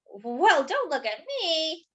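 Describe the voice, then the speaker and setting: Storybook doughnut hero, played by a woman: small, male, eager and easily wounded, high voice with bouncy, exclamatory delivery. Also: very animated, slow pace, bright, forceful tone, exaggerated voice. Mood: dismissive.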